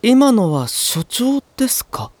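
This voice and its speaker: A performer playing a polite, protective young man in an anime audio drama, calm and careful in manner. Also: mid-range voice, slow, no room sound. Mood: hesitant, doubtful. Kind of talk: Japanese anime drama CD acting.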